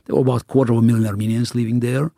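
A man's voice: medium pitch, flat delivery, rapid-fire, vocal fry, dark tone, no room sound, studio quality. A middle-aged man, answering interview questions earnestly, often restarting and repeating words as he searches for phrasing. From Soviet Union (Baku). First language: Russian. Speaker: interview guest.

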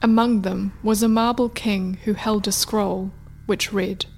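A woman's voice: mid-range voice, steady tone, a little slow, gravelly, a little mellow. A woman narrating a scripted folktale as the storyteller of a radio play. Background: music.

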